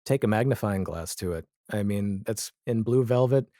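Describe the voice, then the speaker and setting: Guy guest on a podcast, mellow dark voice, dry sound, thinking out loud casually. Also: on the low side, normal speed, no room sound. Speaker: podcast guest, audio drama creator. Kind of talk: casual conversational interview.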